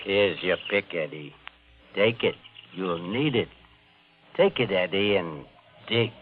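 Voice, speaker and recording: A low-voiced, mocking man drawls extremely slowly, coolly toying with his foe. It is old radio drama, with a muffled vintage sound. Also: lively intonation, a little hiss or hum. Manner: slow, mocking, coolly controlled. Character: taunting, unhurried painter who coolly toys with his attacker. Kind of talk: 1950 radio drama acting.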